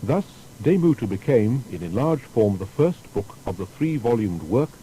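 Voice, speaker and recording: A male narrator delivers a scripted historical biography in a formal manner. His voice is very dark and soft-edged, with expressive intonation and faint noise in the recording. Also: fairly low pitch, normal speed, rough recording. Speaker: documentary narrator.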